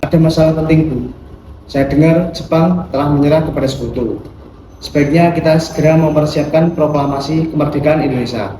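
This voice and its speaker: A man's voice: on the high side, fairly quick, natural voice. A man acting an earnest, insistent young Indonesian independence activist in formal historical dialogue. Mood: urgent. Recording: echoey room, distant mic, faint noise.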